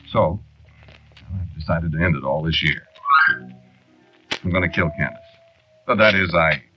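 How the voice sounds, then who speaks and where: An actor playing a weary, centuries-old henpecked man in a 1940s radio drama, confiding in a hesitant, wry way. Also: low-pitched. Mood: weary, resolved. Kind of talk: 1940s radio drama acting, first-person narration. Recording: dull, noisy 1940s radio recording.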